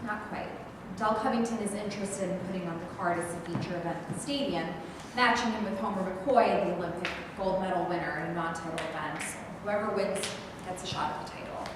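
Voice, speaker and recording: An earnest, persuasive woman sportswriter, read by an actress. She sounds far from the camera in a big echoey rehearsal room, with a long reverb and clear hiss behind her. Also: lowish voice, fairly quick, natural voice. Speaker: actor in a staged reading. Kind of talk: staged reading. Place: large rehearsal studio.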